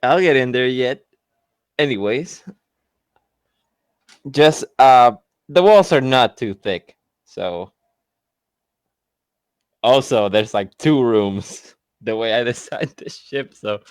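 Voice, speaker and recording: A man's voice: mid-range voice, slow, long pauses, smooth, dry recording, clean studio sound. Male role-playing gamer, medium voice, loose casual banter, no room sound. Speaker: player in a tabletop role-playing game.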